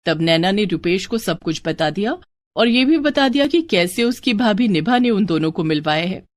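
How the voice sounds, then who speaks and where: A woman's voice: medium pitch, expressive, moderate pace, dry recording. A woman narrating a scripted moral bedtime story as storyteller.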